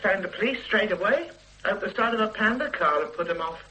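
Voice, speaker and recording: A woman's voice: mid-range voice, expressive, fairly quick, pushed and bright, poor audio. A woman playing a blunt, defiant middle-aged shopkeeper, in a radio drama, who stammers and restarts her sentences. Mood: stubborn. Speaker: witness.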